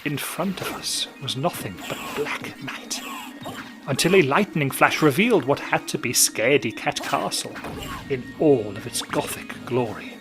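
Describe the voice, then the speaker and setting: A man's voice: mid-range voice, unhurried, music in the background, low-quality recording. A man narrating a story with dramatic, playful spooky storytelling.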